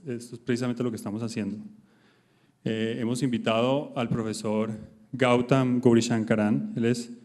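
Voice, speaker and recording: A man introduces a guest at an academic conference. His voice is mellow and dark at a middling pitch. He pauses often and restarts his sentences. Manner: hesitant, many filler sounds and restarts. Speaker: conference host introducing a speaker.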